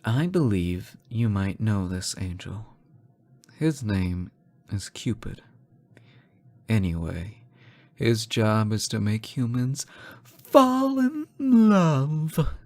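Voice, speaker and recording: A man playing an obsessive, lovesick fallen angel in a dramatic audio roleplay, theatrical and halting, bitter and unhinged. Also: lowish voice, expressive. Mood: disdainful, brooding. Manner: theatrical, halting, sighing, swinging from hushed to frantic. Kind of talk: dramatic audio roleplay monologue addressed to a lover.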